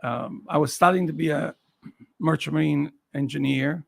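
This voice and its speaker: A man's voice: on the high side, fairly even intonation, normal speed, vocal fry. A man in his sixties from Naples with an Italian accent, telling personal stories as an interview guest and repeating phrases for emphasis. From Naples, Italy. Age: 60s. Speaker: interview guest, professional photographer. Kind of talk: personal storytelling in a casual interview.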